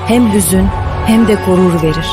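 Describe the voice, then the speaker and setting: A woman reading scripted promotional narration slowly and solemnly. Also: mid-range voice. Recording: narration over music.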